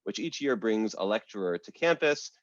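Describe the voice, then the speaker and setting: Low-voiced man hosting over a video call. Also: lively intonation, no echo. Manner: measured, with frequent "uh" fillers. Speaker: academic host and moderator. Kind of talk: formal introduction on a video call. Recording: dull, lo-fi video call audio.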